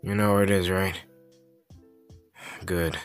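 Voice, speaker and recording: Man playing a slow, low, drawling villain boyfriend. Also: flat delivery, clear, resonant tone, faint music behind. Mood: lazy, casual.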